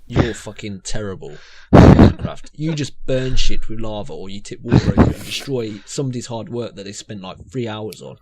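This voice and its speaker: Lowish-voiced blunt man. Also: lively intonation, a little fast, mellow, rough recording. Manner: blunt, sweary. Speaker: podcast panellist. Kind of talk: casual banter.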